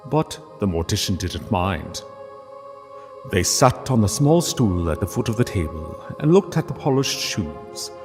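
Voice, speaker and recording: A man narrating a scripted literary story in a solemn, measured way with dramatic pauses. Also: deep voice, long reverb tail.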